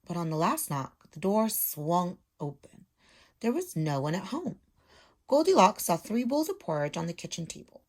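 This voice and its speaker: A woman's voice: lowish voice, very animated, moderate pace. A woman in her thirties speaking as a teacher, explaining step by step and reading a storybook aloud to children at home. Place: small room at home.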